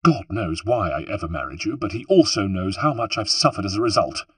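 A man's voice: mid-range voice, sing-song, normal speed, slightly rough, no echo. A man voicing a spirited, imperious younger noblewoman in a storybook, scolding and commanding. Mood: scornful, furious.

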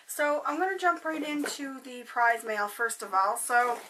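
A young woman with a hoarse, tired voice chatting casually to camera in her bedroom, rambling with lots of fillers. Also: high voice, animated, a little slow, pushed and bright, recorded from a distance, slight background noise, low-quality recording. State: hoarse, voice going. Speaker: beauty vlogger. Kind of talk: casual haul chat to camera. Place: bedroom, indoors. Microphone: webcam at desk distance.

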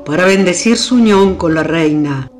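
A woman's voice: lowish voice, animated, soft background music, natural voice. An actress playing an imperious, nostalgic old queen mother in a radio drama, with an Argentine accent and theatrical delivery. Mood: regal, commanding. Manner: regal, slow, theatrical.

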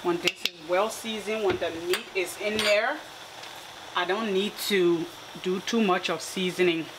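A woman of middling pitch walks through a recipe in her home kitchen, explaining each step in an easy, relaxed way. Food sizzles and frying sounds come through clearly behind her voice. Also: measured pace. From Liberia. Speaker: cooking vlogger. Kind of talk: casual cooking demonstration. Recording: clear kitchen cooking noise. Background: frying and sizzling food, stirring.

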